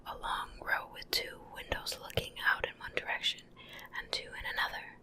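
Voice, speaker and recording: A woman softly whispering ASMR ear to ear into a close mic, breathy and unvoiced, gentle and unhurried like a bedtime reader. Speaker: ASMR host reading a bedtime story. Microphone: close stereo mic, ear to ear.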